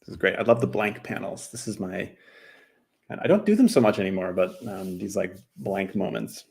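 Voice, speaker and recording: American male streamer, low voice with some fry, loose rambling self-deprecating chat. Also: moderate pace, a little mellow. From New Mexico, USA. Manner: rambling, self-deprecating, slips into other languages. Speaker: cartoonist streaming while drawing. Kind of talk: casual live-stream chat.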